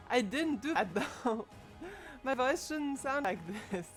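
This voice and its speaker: A woman's voice: high voice, very animated, very slow, soft background music. A woman in her twenties streaming live from home, chatting casually and thinking aloud into a desk microphone as she puzzles over her setup. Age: 20s.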